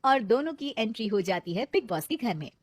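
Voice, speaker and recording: A woman's voice: fairly high pitch, expressive, fairly quick, very noisy. A woman narrating a scripted comic cartoon story.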